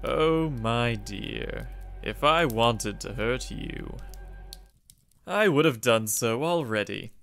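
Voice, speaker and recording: A man playing a suave, sinister gentleman in a comic dub, theatrical and mockingly polite. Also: medium pitch, animated, slow pace, gravelly, heavily acted. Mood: gleeful, menacing. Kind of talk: comic dub character acting. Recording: voice over background music.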